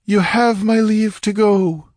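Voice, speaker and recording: Man voicing a high, cold, imperious goddess oracle. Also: fairly even intonation, unhurried, darkish tone, dry recording, clean studio sound. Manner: detached, impersonal, commanding.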